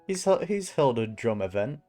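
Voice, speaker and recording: Very low-voiced, chatty woman. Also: big pitch swings, moderate pace, dark tone, soft background music. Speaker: gaming streamer. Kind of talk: casual playthrough commentary.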